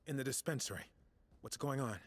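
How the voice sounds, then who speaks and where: A man playing a drained, courteous temple marshal and cleric in a fantasy video game, husky and exhausted. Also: mid-range voice, fairly quick, close to an everyday voice. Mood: weary, courteous.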